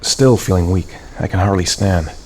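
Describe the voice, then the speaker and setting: A hurt, exhausted father, voiced by an actor mutters his thoughts in a lowish voice. He sounds weak and in pain in this game's inner monologue, with a faint ambient hum behind him. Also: moderate pace, natural voice. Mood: weary. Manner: quiet, muttering to himself. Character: weary, injured father searching for his son. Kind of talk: inner monologue in a video game.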